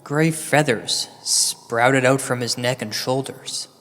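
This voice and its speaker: A man telling a comedic ghost story as a storyteller, deadpan and hesitant, with mock-gothic dramatic pauses. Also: mid-range voice. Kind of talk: slow comedic ghost-story narration, part verse recital. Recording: long hall reverb over music. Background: music.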